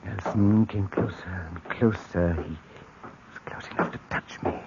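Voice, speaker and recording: A man narrating in the first person for an old radio drama, tense and breathy in places. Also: deep voice, steady tone, a little slow. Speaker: narrator and lead. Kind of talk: 1940s radio acting. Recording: dull old radio recording with hiss.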